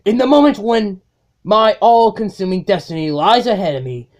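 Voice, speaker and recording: A woman playing a cold, contemptuous robot warlord in a comic dub, speaking with slow, grandiose menace. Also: medium pitch, expressive, a little mellow, lightly acted. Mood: menacing, controlled. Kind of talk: comic dub dramatic acting.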